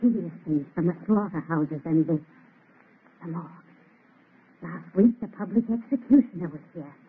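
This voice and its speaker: Fretful housekeeper, husky, old radio. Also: female voice, fairly low pitch, normal speed. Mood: worried, fearful. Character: fretful, scolding female housekeeper, anxious and disapproving. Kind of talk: 1930s radio drama acting. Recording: tinny, scratchy old radio broadcast.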